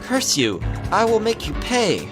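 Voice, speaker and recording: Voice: medium pitch, huge pitch swings, unhurried, music in the background, voice pushed up. A let's player voicing an arrogant, vengeful princess from a visual novel, by turns fierce and falsely sweet. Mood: furious. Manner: swings between yelling and sweet coaxing.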